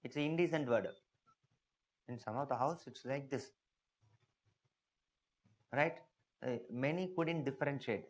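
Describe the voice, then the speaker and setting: A man's voice: mid-range voice, measured pace, long pauses. A man with an Indian English accent giving a classroom history lecture, emphatic and often asking the class whether they follow. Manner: emphatic, asks checking questions often. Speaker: history teacher.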